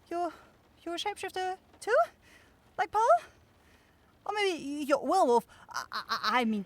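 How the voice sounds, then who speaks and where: A woman voicing a caring young forest witch in a fantasy ASMR roleplay, nervous, rambling and apologetic. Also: extremely high pitch. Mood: panicked, embarrassed.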